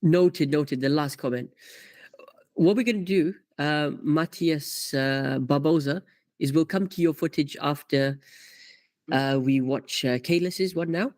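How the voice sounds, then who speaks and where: A man's voice: on the high side, measured pace, a little mellow, dry recording. A man hosting a live karting coaching stream, chatty and full of fillers as he reads out comments. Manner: chatty, many fillers and restarts.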